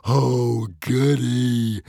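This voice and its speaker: Manic Viking chief: high, loud, slow gloating roars. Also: male voice, big pitch swings, no room sound, clean studio sound. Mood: manic glee. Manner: gleeful, roaring, drawn-out shouts.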